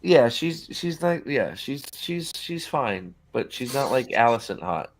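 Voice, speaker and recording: A man's voice: mid-range voice, big pitch swings, a little slow, slight background noise. A man hosting a loose reaction chat about a TV show. His talk is blunt and full of swearing, and he keeps restarting his sentences as he rambles along. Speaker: show host. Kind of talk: casual reaction commentary.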